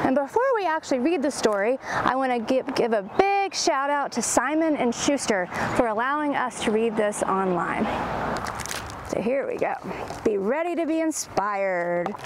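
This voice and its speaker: A middle-aged woman hosting a children's storytime and reading a picture book aloud in an animated, encouraging way. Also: a little high. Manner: animated, exclaiming, encouraging, simple phrasing for young children. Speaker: library storytime host. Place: outdoors in a leafy garden. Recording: clear outdoor background noise.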